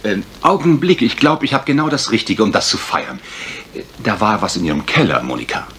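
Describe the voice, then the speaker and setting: A jovial gentleman detective in a radio crime play. His delivery swings widely, teasing and affectionate, over clearly audible background noise. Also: fairly low pitch, expressive, brisk. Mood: amused, festive. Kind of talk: German radio crime drama acting. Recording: noisy retro radio play recording.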